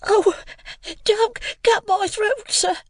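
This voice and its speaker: Storybook child, done by a man: little frightened boy, high creaky voice, meek and pleading, very deferential. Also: measured pace, fairly bright tone, no echo, studio quality, exaggerated voice. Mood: terrified. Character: small, terrified, polite young orphan boy.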